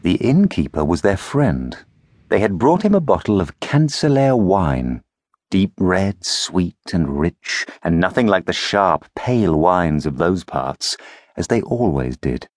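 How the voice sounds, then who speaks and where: A man narrates a fairy tale in a very deep voice. His delivery is lively and full of rise and fall. The studio sound is dry and close. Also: measured pace, dry recording. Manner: expressive storytelling. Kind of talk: audiobook narration.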